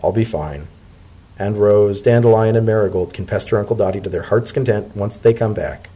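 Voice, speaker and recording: A man voicing a fussy, wry male pony civil servant in a story reading, with a dry, brisk and protective manner. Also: lowish voice, dark tone, slightly rough. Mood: fondly bossy. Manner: dry, brisk, fussily caring.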